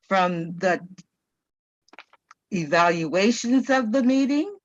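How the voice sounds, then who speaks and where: A woman explaining her evaluator role to a group on a video call, in halting, piecemeal phrases. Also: mid-range voice, no echo, highs cut off. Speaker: general evaluator at a speaking club.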